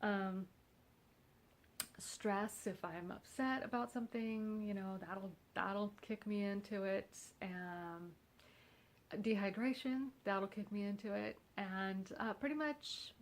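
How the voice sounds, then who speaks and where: Congested, wry woman with a gravelly voice. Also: medium pitch, takes it slowly, slight background noise. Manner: rambling and wry, with self-mocking humor. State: congested, nasal. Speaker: vlogger. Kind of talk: casual chat.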